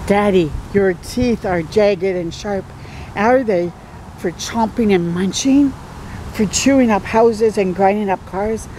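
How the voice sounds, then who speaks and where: A woman voicing an eager little boy monster, asking wondering, drawn-out storybook questions of his dad. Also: mid-range voice, warm tone, a little hiss or hum, natural voice, rough recording. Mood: eager, curious.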